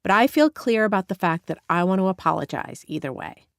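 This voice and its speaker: A woman from Northern California narrating her own memoir as an audiobook, candid and expressive, on a close studio mic. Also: medium pitch, fairly quick, gravelly, dry recording. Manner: expressive, candid first-person storytelling.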